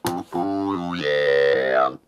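Super deep robot crooner thru a talk box, slow, synth music sound. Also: male voice, lo-fi sound, full character voice. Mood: crooning. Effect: talk box synth effect. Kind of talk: sung demonstration.

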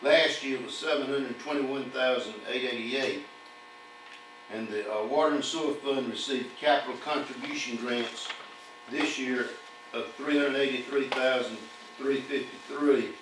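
A man's voice: mid-range voice, fairly even intonation, a little slow. An older man presents an audit report to a town council, reading long lists of figures at a podium with occasional fillers. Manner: reads figures steadily, with fillers. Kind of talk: formal financial report reading. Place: municipal council chamber. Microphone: podium mic, far camera. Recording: echoey distant room audio with hum.

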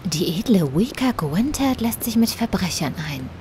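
A woman's voice: medium pitch, big pitch swings, moderate pace, darkish tone, a little hiss or hum, lightly acted. A woman playing a skeptical law officer with a dry, teasing manner in an audio drama. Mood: wry, skeptical.

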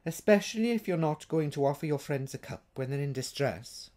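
A haughty witch woman from a storybook , acted out by a man, pitched well up. She is sulky and self-pitying. Her delivery is fretful and indignant, with big swings in pitch. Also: expressive, brisk, darkish tone, lightly acted. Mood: pointed, reproachful.

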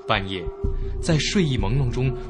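A man performs a young male traveller recounting a horror story in a radio drama. His mid-pitched delivery is theatrical and widely varied, over loud background music. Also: expressive, moderate pace, lightly acted. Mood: fearful suspense.

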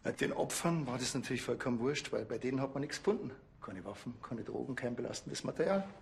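An actor playing a sly, unflappable police officer with a Bavarian accent and a deadpan manner in a TV drama. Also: male voice, mid-range voice, quick pace, vocal fry, a little hiss or hum. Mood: smug, accusing.

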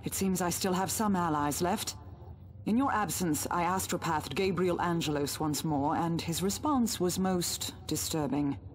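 Woman as formal game inquisitor, lowish voice, stern, faint noise behind. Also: a little slow, lightly acted. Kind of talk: video game character acting.

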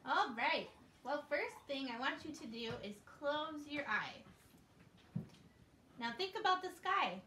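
A woman's voice: high-pitched, very animated, slow, long pauses, bright, forceful tone. A woman hosting a children's show, speaking simply and encouragingly as she tells a scripted story in a living room. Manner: simple, encouraging talk addressed to small children. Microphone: distant camera. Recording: echoey, noisy room, distant camera microphone.

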